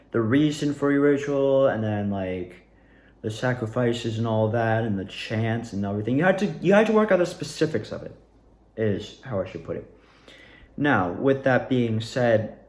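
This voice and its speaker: A man's voice: medium pitch, echoey room. A young man talking casually about his own experiences in a rambling, self-correcting monologue. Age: young adult. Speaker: drama student.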